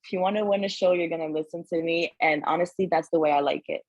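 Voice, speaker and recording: A woman's voice: lowish voice, quick pace, darkish tone, no echo, dull audio. A woman from Canada, a guest on an interview, talking frankly and directly with lots of "like". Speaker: guest athlete. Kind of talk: casual interview chat.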